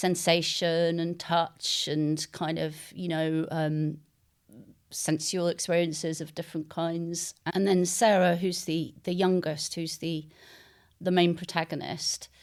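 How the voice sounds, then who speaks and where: A woman novelist being interviewed, speaking conversationally and thoughtfully, often pausing to search for words. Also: fairly low pitch, smooth.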